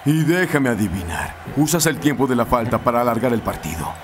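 An actor playing a laid-back, concerned soccer teammate in a cartoon. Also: male voice, mid-range voice, big pitch swings, a little fast, slight background noise, close to an everyday voice. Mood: knowing. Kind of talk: animated cartoon dubbing. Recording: music behind the dialogue.

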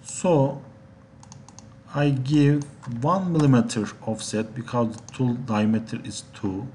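Man, software instructor, slow halting delivery, medium pitch, faint noise with typing behind. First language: Turkish. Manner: halting, step-by-step explaining. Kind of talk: software tutorial narration. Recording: steady background noise, keyboard clicks.

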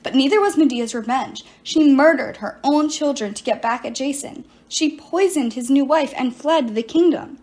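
A woman's voice: sits high, moderate pace, few pauses, a little mellow, natural voice. A woman voicing a defiant teenage girl hero in an audiobook, blunt and urgent. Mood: accusing. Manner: urgent, accusing.

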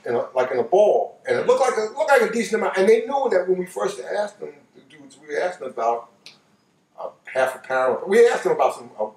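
High-pitched older American man telling stories. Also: slight background noise. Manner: colloquial, repeats phrases for emphasis. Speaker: storyteller. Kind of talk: animated personal storytelling, casual. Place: living room couch, indoors. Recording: roomy, distant microphone.

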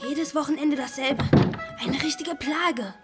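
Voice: high voice, a little slow, loud music behind the voice. A young performer playing a sulky, spiteful younger brother who grumbles, in an audio drama. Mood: grumbling.

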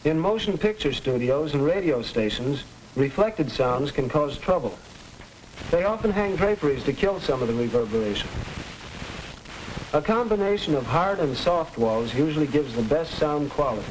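Man acting as young American instructor, fairly high voice, didactic, patient, 1940s educational film, noisy old soundtrack. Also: expressive, moderate pace, close to an everyday voice. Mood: instructive. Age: young adult. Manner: didactic, clearly explaining.

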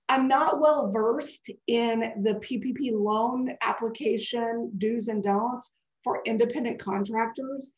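A woman in her forties giving a live webinar over a video call. She talks in a chatty, digressive way, and her voice sounds congested and scratchy. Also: on the high side. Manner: chatty and digressive, answering questions. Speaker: webinar presenter. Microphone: webcam video call. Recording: dull echoey video-call audio.

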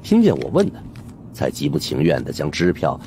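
A man's voice: rumbling low, wildly swooping pitch, a little fast, warm tone, slight background noise. A man narrating an audiobook as dramatic, scripted storytelling.

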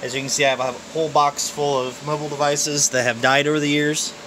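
A man walks through his crowded home office with a handheld camera, talking in a relaxed, halting way full of fillers. His delivery is fairly flat and even. An air conditioner hums clearly under the recording. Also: fairly high pitch, noisy background. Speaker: vlogger showing his phone farm. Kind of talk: casual off-the-cuff walkthrough. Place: cluttered home office full of phones and computers. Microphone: handheld camera mic, filming while walking around.

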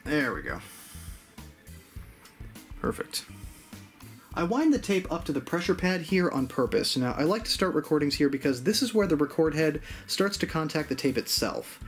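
A man in his thirties hosting an explainer video in a playful, animated way with casual asides. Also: fairly high pitch, normal speed, pauses often, soft background music. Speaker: video host. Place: small home room with hi-fi gear, indoors.

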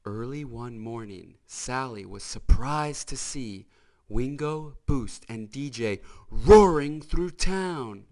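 A man narrating a children's storybook in an expressive, emphatic manner. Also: medium pitch, slow pace. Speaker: storybook reader.

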